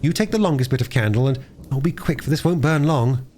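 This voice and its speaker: A man voicing a gentle, sensible and capable girl in a storybook reading. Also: mid-range voice, fast, pitched above the performer's own voice, close to an everyday voice. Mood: urgent.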